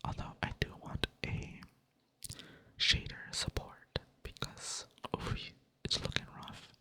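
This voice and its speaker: A soft, unvoiced whisper that drifts along slowly and stays monotone, with casual, rambling chat over gameplay. It sounds close to the mic at a computer desk and is gently self-mocking throughout. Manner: monotone delivery. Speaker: ASMR gaming creator.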